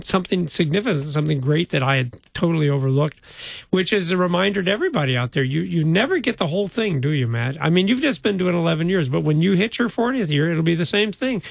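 An older man with a fairly high voice and a gravelly edge, recorded dry and close with little room around him. He is a pastor talking through a Bible passage in an easy, conversational way, often breaking into chuckles. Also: dry recording. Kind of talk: casual Bible discussion.

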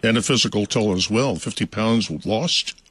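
A man's voice: fairly low pitch, normal speed, a bit bright, breathy edge. A man hosting an interview, asking brief, direct questions. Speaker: interview host.

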